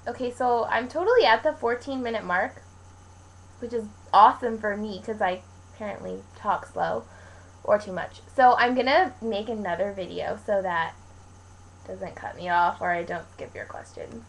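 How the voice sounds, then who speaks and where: A woman's voice: mid-range voice, moderate pace, creaky, poor audio. Chatty young woman rambling casually. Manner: rambling, informal, colloquial with frequent "like". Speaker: vlogger answering viewer questions. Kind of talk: casual chat to camera, Q&A vlog.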